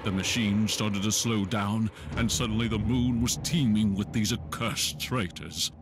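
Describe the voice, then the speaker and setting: An actor playing a devout, guilt-ridden priest in a video game, solemn and confessional. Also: male voice, fairly low pitch, steady tone, measured pace, noisy background. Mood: solemn, penitent. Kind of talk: scripted game dialogue. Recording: game music behind.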